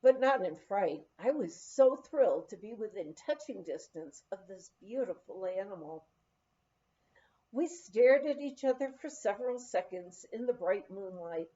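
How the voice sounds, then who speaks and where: Woman, audiobook reader, expressive storytelling, mellow soft-edged tone, animated swooping intonation, slightly muffled lo-fi sound. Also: medium pitch, a little slow. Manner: animated storytelling with acted dialogue. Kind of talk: expressive reading of a humorous memoir.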